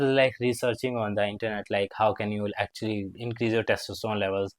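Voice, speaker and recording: A man's voice: fairly low pitch, no room sound. A man in his twenties, a fitness vlogger, telling a rambling personal story with lots of fillers and grammar slips. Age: 20s. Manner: rambling, filler-heavy, with frequent "like" and non-native grammar slips. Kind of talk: casual storytelling to camera.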